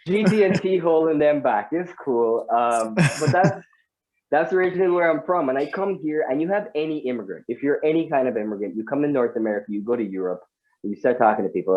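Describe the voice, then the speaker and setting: A man's voice: medium pitch, fast, a little mellow, muffled recording. A man from Guyana doing a stand-up comedy set over a video call, chatty and full of asides to the audience.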